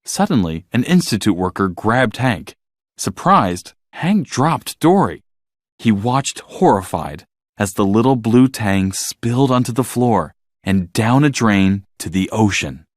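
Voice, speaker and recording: A man reading a scripted children's story as narrator, in an expressive, dramatic storytelling manner. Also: fairly low pitch, unhurried, no room sound, clean studio sound.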